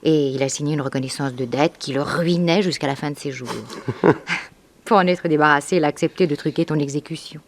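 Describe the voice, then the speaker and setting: A woman's voice: deep voice, steady tone, fairly quick, slight background noise, lightly acted. A French woman acting in a 1960s radio drama as a devoted, ruthless gangster's mistress with an intimate, knowing manner. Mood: amused, scheming.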